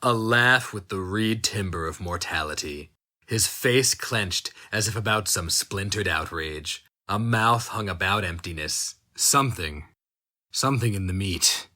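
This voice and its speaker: Slow, brooding man reading dark fantasy. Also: low-pitched. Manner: slow, brooding, intense delivery. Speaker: narrator.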